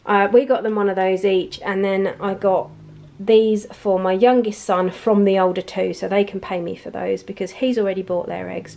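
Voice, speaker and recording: A middle-aged woman with a British accent chats casually in a medium-pitched voice. She sounds lightly amused at herself and talks as a home vlogger would. The recording has some background noise and small clicks, as from a handheld camera indoors. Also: gravelly, darkish tone. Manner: chatty, self-amused, laughs lightly often. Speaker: grocery haul vlogger. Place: indoors at home, at a table of shopping. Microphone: handheld camera, speaker off screen.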